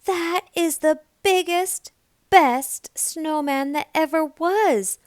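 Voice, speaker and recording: A woman voicing a big, domineering storybook woman with a loud, blustery, drawn-out way of talking. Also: very high voice, no echo, clean studio sound, pitched above the performer's own voice. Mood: astonished. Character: big, blustery, domineering grown women of the family.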